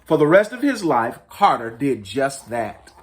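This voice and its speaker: A man reading a storybook aloud to children as host, in an expressive, deliberate and emphatic storytelling manner. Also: medium pitch. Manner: deliberate, emphatic storytelling with dramatic rises and falls.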